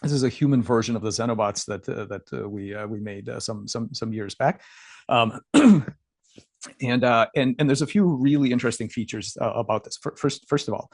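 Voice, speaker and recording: A man giving a scientific lecture over slides, halting with many fillers and repeats, and losing his voice. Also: medium pitch, a little mellow, dry recording, clean studio sound. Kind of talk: academic talk over slides.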